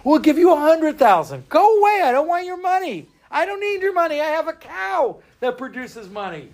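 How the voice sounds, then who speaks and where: A man's voice: very high voice, very animated, normal speed, darkish tone, pitched above the performer's own voice, heavily acted. A man putting on the voice of an indignant cow owner who shoos buyers away. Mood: scornful.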